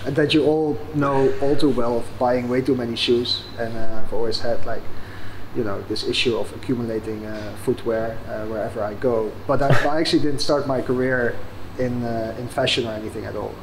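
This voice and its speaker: A man, a founder answering interview questions in a thoughtful, halting way. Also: mid-range voice, steady tone, noisy background. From Netherlands. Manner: thoughtful, many fillers and restarts. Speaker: startup founder and guest.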